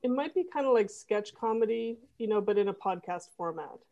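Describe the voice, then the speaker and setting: A woman's voice: mid-range voice, expressive, fairly quick, warm tone, dull audio. A woman who makes a comedy fiction podcast, explaining her genre earnestly as an interview guest, with plenty of fillers and restarts. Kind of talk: casual interview.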